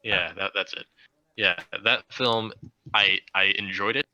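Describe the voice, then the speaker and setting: Very bright, lowish-voiced man chatting haltingly. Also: fairly even intonation, no echo, lo-fi sound. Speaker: film podcast co-host. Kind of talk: casual group chat.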